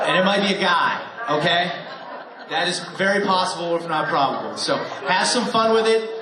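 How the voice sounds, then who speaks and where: High-voiced man warming up a crowd. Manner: playful, joking, coaxing the crowd. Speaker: host of a live radio theater show. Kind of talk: warm-up talk to a live audience. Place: theater stage before a live audience. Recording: echoey hall with a crowd. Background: audience noise and applause.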